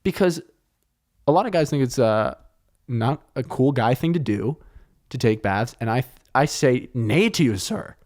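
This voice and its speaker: Joking, profane podcast host rambling. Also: male voice, mid-range voice, expressive, unhurried, a little mellow. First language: French. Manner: joking, profane, meandering storytelling. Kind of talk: casual solo podcast rambling. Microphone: close studio mic.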